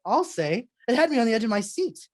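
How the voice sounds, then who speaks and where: A woman's voice: fairly high pitch, big pitch swings, a little fast. A woman hosting as a 1940s-style radio announcer, reading a script with theatrical showmanship. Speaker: radio show host, announcer.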